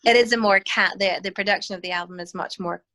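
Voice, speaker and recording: Bright-voiced Northern Irish woman. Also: medium pitch, fairly even intonation, quick pace. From Northern Ireland. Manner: thoughtful, many fillers and restarts. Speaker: guest, hymn writer. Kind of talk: casual interview over video call.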